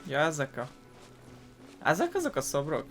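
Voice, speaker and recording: A man's voice: on the high side, wildly swooping pitch, moderate pace, fairly bright tone. A man doing casual game commentary as a video host, informally musing aloud. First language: Hungarian. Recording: commentary over game music.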